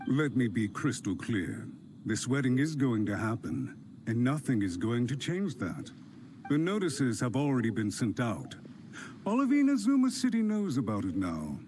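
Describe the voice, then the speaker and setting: An actor playing a scheming male official in a game, sneering and quick to take offence. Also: mid-range voice, big pitch swings, a little mellow, a little hiss or hum, lightly acted. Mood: smug. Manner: sneering, indignant. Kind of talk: game cutscene voice acting.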